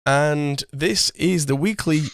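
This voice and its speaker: A man in his thirties hosting a casual podcast, chatty and self-deprecating, his voice a little hoarse from a cold. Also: fairly high pitch, slow pace, no echo. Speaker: podcast host. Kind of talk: casual chat. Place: home studio. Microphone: condenser mic through an audio interface. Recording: dry close-mic sound.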